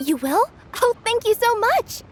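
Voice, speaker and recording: A woman's voice: very high voice, big pitch swings, measured pace, very noisy, heavily acted. A woman voicing a perky, friendly, easily flustered young shapeshifting courier in a video game, chatty and earnest. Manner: bright-spirited, earnest, chatty.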